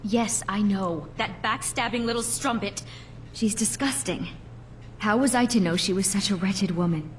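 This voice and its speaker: An actress playing a bitter, jilted alchemist in a video game, with a clipped, haughty, wounded manner. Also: female voice, mid-range voice, normal speed, slight background noise, natural voice. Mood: hurt, scornful. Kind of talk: scripted game dialogue.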